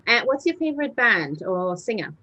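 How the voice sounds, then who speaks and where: A woman's voice: a little high, big pitch swings, normal speed, sharp tone, dull audio. A woman acting as interviewer, putting questions to a guest.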